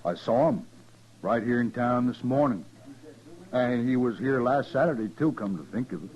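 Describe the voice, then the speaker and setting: An actor playing a stubborn, hot-headed frontier rancher in a 1950s radio western, with blunt, folksy, insistent speech. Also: male voice, mid-range voice, normal speed, a little mellow, natural voice. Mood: accusing. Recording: muffled vintage radio recording.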